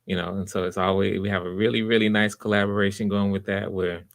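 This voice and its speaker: A man with a lowish voice hosts a live stream. He talks casually and haltingly, often doubling back on words as he works out what to say. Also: level tone, creaky. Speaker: host running a live voice audition. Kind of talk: casual livestream hosting.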